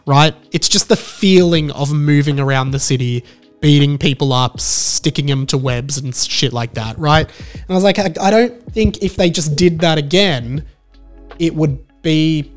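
Man, thirties, slightly high pitch, informal and animated vlog delivery, close handheld mic, background music. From Australia. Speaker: video game vlogger. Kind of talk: casual enthusiastic talk to camera. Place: small room at home, indoors. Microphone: handheld microphone close to the mouth.

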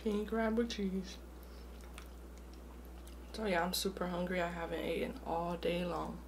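A woman's voice: on the low side, flat delivery, a little slow, noisy background. A young woman hosting an eating show, chatting casually between bites in a room at home. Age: young adult. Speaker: mukbang host. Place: small room indoors at home.

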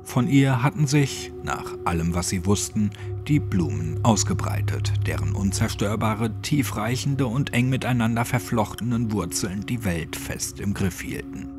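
Low-voiced man narrating horror over music. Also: expressive, unhurried, hardly any pauses. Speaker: audiobook narrator. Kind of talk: scripted horror story narration.

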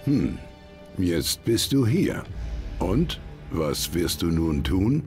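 An actor playing an older, estranged father in a video game, brooding and challenging, speaking deliberately. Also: sits low, big pitch swings, darkish tone, soft background music, heavily acted. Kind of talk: dramatic video game acting.

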